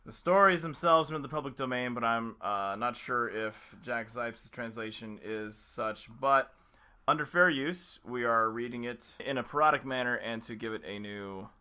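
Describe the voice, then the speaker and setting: A man's voice: mid-range voice, normal speed, sharp tone, clear, resonant tone. A man hosting a casual, parodic story reading, switching between chat and narration. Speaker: host, story reader.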